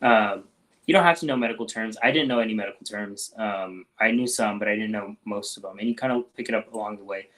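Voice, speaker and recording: A man with a somewhat low voice answers questions on a casual live stream. He talks in a relaxed, loose way, full of ums and restarts. His sentences often fade off softly at the end. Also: fast, poor audio. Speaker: doctor hosting a live Q&A.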